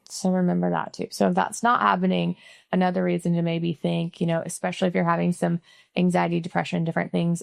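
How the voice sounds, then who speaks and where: A woman's voice: lowish voice, creaky, no echo, clean studio sound. A middle-aged woman from Missouri hosting a podcast, talking in a chatty way with lots of "like" and "right?". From Missouri, USA. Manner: chatty, frequent "like" and "right?" tags. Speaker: podcast host. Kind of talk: casual interview chat and closing sign-off.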